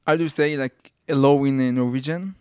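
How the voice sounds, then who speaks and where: A man with an Israeli accent, a native Hebrew speaker, hosting a casual interview in halting, non-native English phrasing. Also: medium pitch, fairly even intonation, a little mellow, clear, resonant tone, dry recording. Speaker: podcast host.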